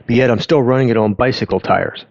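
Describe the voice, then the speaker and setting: A man giving an informal explainer in a halting, conversational way, often interrupting himself to rephrase. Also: medium pitch, vocal fry, a little mellow, no room sound, dull audio. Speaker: host of an audio education channel. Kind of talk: informal explainer talk over on-screen graphs.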